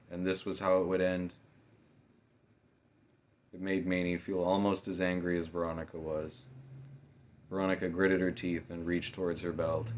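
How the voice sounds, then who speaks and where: A man's voice: low voice, slight background noise. A man narrating a fantasy novel as a scripted, dramatic audiobook reading. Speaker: author narrating own novel.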